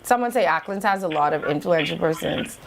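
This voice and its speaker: A woman's voice: sits low, expressive, gravelly, background noise. A Bahamian woman co-hosting a call-in radio show, playful and teasing in casual banter. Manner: playful, teasing, quick to laugh.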